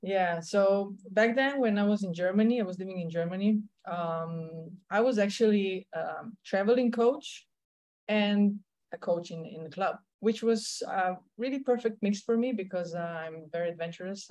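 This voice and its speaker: A woman's voice: on the low side, unhurried, a little mellow. A woman with a Slovenian accent, a tennis coach telling her story in an interview, with many fillers and restarts. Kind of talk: conversational interview answers.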